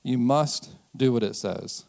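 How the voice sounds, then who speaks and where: An American man preaching a sermon in a conversational way, chuckling often and slowing down to stress key phrases. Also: medium pitch, creaky, darkish tone. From United States. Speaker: pastor.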